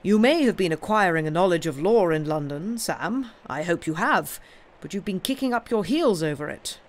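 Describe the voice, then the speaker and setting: A man voicing a stern elderly uncle in a storybook reading, gruff and blunt, lecturing a wayward nephew in old-fashioned phrases. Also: high voice, expressive, normal speed. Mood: stern, reproachful. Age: older.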